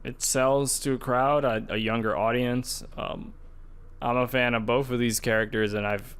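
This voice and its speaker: A man's voice: mid-range voice, fairly even intonation, moderate pace, a bit bright, clear, resonant tone. A man hosting a podcast, casually discussing gaming news in a conversational way, often restarting his phrases. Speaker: podcast host.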